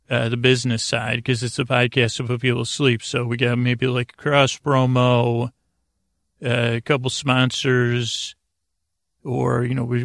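Male sleep-podcast host: flat intonation, gravelly fry, halting, meandering, low-key delivery. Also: no room sound, studio quality. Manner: meandering, halting, frequently digressing and losing his thread; low-key, soothing, deliberately unengaging. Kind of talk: rambling sleep-podcast monologue.

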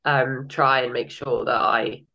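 A woman's voice: a bass voice, fairly even intonation, measured pace, vocal fry, bright, forceful tone, dull audio. Nasal woman with a cold. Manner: enthusiastic, laughs often. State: has a cold, a bit nasal. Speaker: guest. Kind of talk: casual interview chat.

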